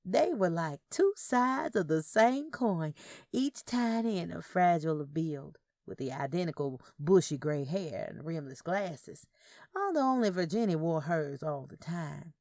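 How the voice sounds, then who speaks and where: Casting: female storyteller for audio fiction, very animated pitch, creaky edge. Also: measured pace, dry recording, studio quality. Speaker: audiobook narrator. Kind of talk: scripted narration of Southern Gothic fiction.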